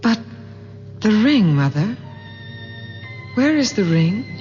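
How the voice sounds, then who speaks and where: An actress playing an obedient, uneasy ghostly daughter in a submissive radio drama style. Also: female voice, medium pitch, big pitch swings, takes it slowly, dark tone, soft background music. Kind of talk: 1970s radio drama acting. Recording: reverberant, dull vintage radio recording.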